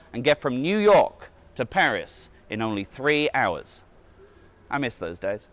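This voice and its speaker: A man with a somewhat high-pitched voice reads a children's book aloud like a museum guide. He slips casual asides into the text and corrects himself when he trips on a word. The sound is dry, with very little room echo. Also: a bit bright. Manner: stumbles over words and corrects himself.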